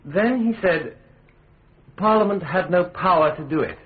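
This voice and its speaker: An actor playing a nervous, evasive witness giving hesitant, subdued testimony in a radio drama. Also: male voice, high voice, expressive, unhurried, phone-quality sound. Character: uneasy, evasive male witness, ambitious perjurer.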